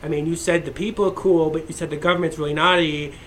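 A man's voice: on the high side, fairly even intonation, quick pace, fairly bright tone, a little hiss or hum, close to an everyday voice. A man doing a sneering corporate scold. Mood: mocking. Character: mocking censorious male corporate spokesman.

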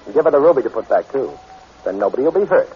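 An actor playing a breezy, wisecracking reformed thief with a glib, teasing manner in 1940s radio drama style. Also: male voice, mid-range voice, sing-song, racing, close to an everyday voice. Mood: confident, teasing. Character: breezy, wisecracking male reformed-thief adventurer with a good heart. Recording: muffled, noisy 1940s radio recording.

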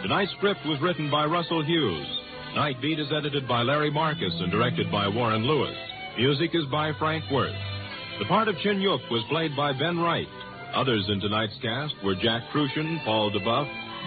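A male 1950s radio announcer with a slightly high voice reads scripted show copy. The old broadcast is muffled, with prominent music behind him. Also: expressive, normal speed.

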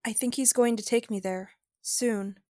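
A woman's voice: on the high side, steady tone, normal speed, dark tone, natural voice. A woman playing a guarded, conflicted young wife in an audio drama, loyal and defiant, speaking tersely. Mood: conflicted, pleading.

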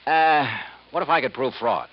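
Actor playing a lively, hard-boiled 1950s radio investigator. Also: male voice, mid-range voice, normal speed, close to an everyday voice. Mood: insistent. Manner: wry, clipped, hard-boiled. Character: brash, shrewd freelance insurance investigator, a man. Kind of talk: 1950s radio drama acting with first-person narration. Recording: muffled 1950s radio recording with music.

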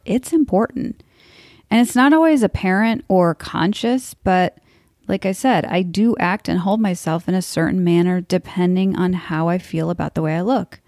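A woman's voice: lowish voice, a little mellow, dry recording, clean studio sound. A woman in her forties hosting a podcast, talking in a frank, blunt and wry way. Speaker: podcast host. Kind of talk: scripted podcast monologue.

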